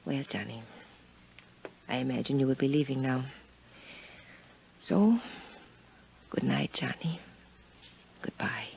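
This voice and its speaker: An actress playing a worldly, poised, impoverished young countess with a languid, guarded manner in a 1950s radio drama. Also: female voice, extremely low pitch, slow pace, creaky, pauses often, slight background noise, exaggerated voice. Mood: resigned. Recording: muffled 1950s radio recording.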